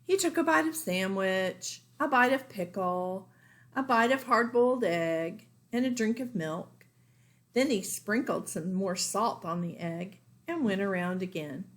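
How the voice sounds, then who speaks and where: Woman, teacher, storybook read-aloud, expressive, mid-range voice, for young children. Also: mid-range voice, unhurried. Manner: expressive, pointing out pictures to listeners.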